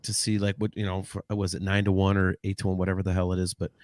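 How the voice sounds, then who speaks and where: A man's voice: low voice, completely flat delivery, quick pace, darkish tone, no room sound, studio quality. A man in his forties co-hosting a casual podcast chat, rambling and swearing with lots of false starts. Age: 40s. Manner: rambling, swearing, frequent restarts. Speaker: podcast co-host.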